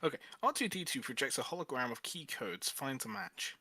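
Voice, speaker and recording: A man with a bright, forceful voice narrates a script among others. He keeps it casual and slips into joking asides. The sound is dry, with little room to it. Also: medium pitch, sing-song, a little fast, husky, no room sound. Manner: jokey, breaks from the script to banter. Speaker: narrator in a group script reading.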